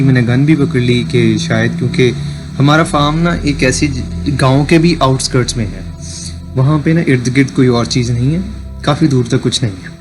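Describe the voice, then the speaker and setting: A man from Punjab with a Pakistani accent, casually telling a first-person story in halting phrases as a radio listener. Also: medium pitch. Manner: halting phrases, switches into English. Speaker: listener sending an audio story. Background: background music.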